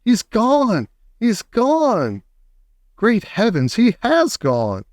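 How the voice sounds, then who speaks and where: Man putting on a frantic high-pitched storybook mother. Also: huge pitch swings, extremely slow, no echo, studio quality, exaggerated voice. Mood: screaming, panicked. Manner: screaming, wailing, crying out. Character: frantic, wailing mother of a young boy. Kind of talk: children's storybook character voice.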